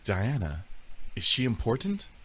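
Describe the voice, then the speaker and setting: A man voicing a calm, knowledgeable demon in a visual novel, matter-of-fact. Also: mid-range voice, unhurried, breathy edge, full character voice. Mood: calm, serious.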